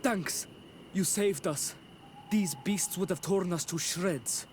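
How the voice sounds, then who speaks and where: A man's voice: sits high, slow, slight background noise. A man playing a plain-spoken, helpful young villager in a video game. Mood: relieved, grateful. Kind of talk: video game dialogue acting.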